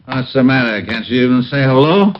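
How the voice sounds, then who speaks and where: An actor playing a confused, chatty, slow-witted American cop as comic relief in an old-time radio drama. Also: male voice, medium pitch, lively intonation, close to an everyday voice. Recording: muffled old radio recording.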